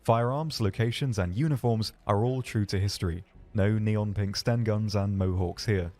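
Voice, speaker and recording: A man narrating a scripted game showcase as a developer, explaining features in a measured way. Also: fairly low pitch, a little mellow. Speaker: game developer presenting his team's game. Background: background music.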